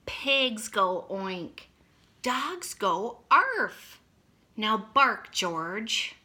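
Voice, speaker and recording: A woman's voice: mid-range voice, big pitch swings, drawn-out words, bright, forceful tone, heavily acted. A woman voicing an exasperated mother dog who firmly coaxes her pup to bark. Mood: firmly coaxing. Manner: firm, pleading, instructive.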